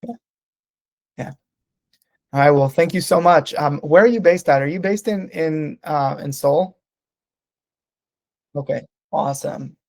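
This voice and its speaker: A man's voice: a little high, unhurried, long pauses, darkish tone, no echo, studio quality. A man whose first language is Hebrew, speaking English on a casual business video call, hesitant with many ums and restarts. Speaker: sales representative on a business call. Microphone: computer mic on a video call.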